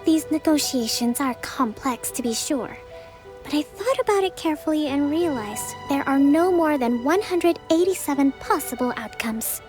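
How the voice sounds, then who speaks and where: An actress playing a gentle, composed young female leader in a video game, with measured, formal, articulate speech. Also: high voice, expressive. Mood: composed, caring. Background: faint game background music.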